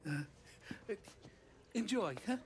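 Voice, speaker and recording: Casting: gushing Italian trattoria owner, Italian accent, slow-paced and highly expressive, game cutscene with music. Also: male voice, a little hiss or hum. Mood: eager, deferential. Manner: gushing, eager to please. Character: effusive, fawning Italian restaurant owner. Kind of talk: cinematic crime game acting. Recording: music behind the voice.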